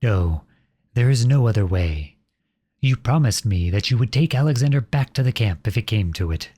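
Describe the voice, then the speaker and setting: A man playing a protective, noble outlaw prince in an earnest, dramatic ASMR audio roleplay, with a soft, husky voice close to the mic. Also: mid-range voice, animated, moderate pace, dry recording. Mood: pleading. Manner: earnest, dramatic, addresses unseen listeners directly. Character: outlawed young prince, protective, noble, devoted to his beloved. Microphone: close studio-style microphone, dry.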